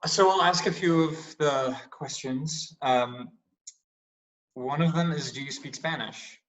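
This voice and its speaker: A man with a bright, forceful tone reads audience questions over a video call. He sounds slightly nervous and eager. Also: on the high side, takes it slowly, poor audio. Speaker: event producer reading audience questions.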